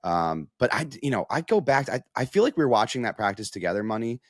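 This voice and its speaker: A man's voice: medium pitch, very animated, fast talker, no room sound, studio quality. A man with an American accent giving casual sports analysis as a reporter, thinking aloud in a conversational way. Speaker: sports reporter, show guest.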